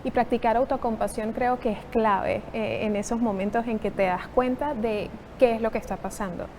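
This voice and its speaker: A woman in her thirties from Venezuela, a psychotherapist, answering interview questions in a reflective, measured way. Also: fairly high pitch, steady tone, mellow, slight background noise. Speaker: psychotherapist guest.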